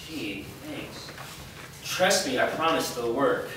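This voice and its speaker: A man's voice: mid-range voice, lively intonation, slow pace, a bit bright. A man playing an eager, awkward teenage boy with a crush in a staged reading, recorded in an echoey room. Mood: exasperated. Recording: echoey room, distant mic, clear noise.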